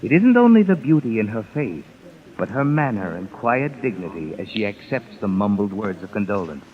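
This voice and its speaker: An actor playing an ominous, all-knowing narrator of a 1950s radio mystery, speaking in a knowing, sardonic, deliberate way. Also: male voice, on the low side, very animated. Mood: sardonic, accusing. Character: ominous all-knowing male narrator addressing a guilty man. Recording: muffled vintage radio recording with music behind.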